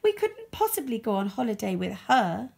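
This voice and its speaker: Woman putting on a snooty, high-pitched poodle. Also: big pitch swings, moderate pace, creaky, a little mellow. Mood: scornful. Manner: haughty, catty. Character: pair of snooty, mocking, overdressed female poodles. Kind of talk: children's storybook character reading.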